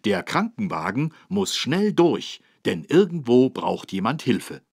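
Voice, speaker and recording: A man's voice: medium pitch, very animated, measured pace, background noise. A man playing a friendly, helpful policeman who explains things simply to small children in a German cartoon game. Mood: instructive. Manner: didactic, clearly phrased for small children. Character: friendly, helpful adult male policeman teaching children road safety.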